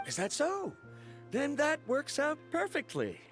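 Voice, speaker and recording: Haughty high-pitched young scholar. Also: male voice, huge pitch swings, measured pace, a bit bright, soft background music, exaggerated voice. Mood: pleased. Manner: formal, pedantic, haughty. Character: proud, blunt, studious young male scholar. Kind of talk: video game voice acting.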